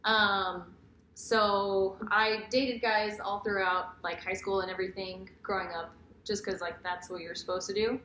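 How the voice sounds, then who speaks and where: A woman's voice: mid-range voice, fairly even intonation, a little slow, sharp tone. An American woman in her mid twenties telling personal stories in a casual, candid way as she co-hosts a Q&A. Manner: informal, candid. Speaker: vlogger, co-host of couple's Q&A. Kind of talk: casual chat, personal storytelling. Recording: echoey room, faint background noise.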